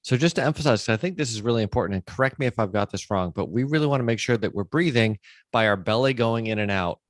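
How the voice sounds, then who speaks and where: A man's voice: medium pitch, animated, quick pace, no echo. A middle-aged man hosting a podcast interview in a conversational way, recapping points and checking he has understood.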